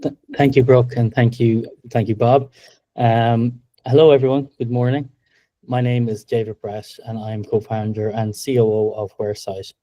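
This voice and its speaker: A middle-aged Irish man giving a business webinar presentation over a video call, upbeat and steady, with frequent ums. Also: mid-range voice, normal speed, dry recording, muffled recording. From Ireland. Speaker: webinar presenter, company co-founder.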